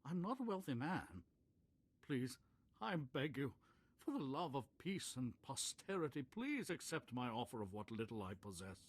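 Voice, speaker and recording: A man's voice: mid-range voice, sing-song, moderate pace, husky. An actor playing a pompous, timid nobleman in a video game, speaking in a formal, fussy and hesitant way. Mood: pleading. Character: pompous, flustered, obsequious male nobleman, timid and flowery.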